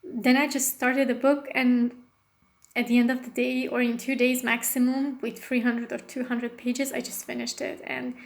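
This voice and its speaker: Flat-toned woman from Romania, rambling. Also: a little high, normal speed, creaky. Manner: rambling, with frequent fillers and self-corrections; non-native English phrasing. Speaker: astrologer. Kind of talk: casual personal monologue.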